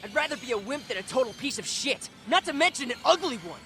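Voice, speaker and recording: Scrappy american teen boy, cocky game character, highish voice, really lively, music behind. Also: fast talker. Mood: defiant. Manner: cocky, slangy. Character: brash, scrappy teenage boy with a smart mouth. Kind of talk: English video game dub acting.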